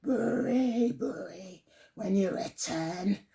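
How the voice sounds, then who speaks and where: A woman voicing a hungry, menacing tiger in a children's tale, growling slowly with drawn-out words. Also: mid-range voice, vocal fry, warm tone.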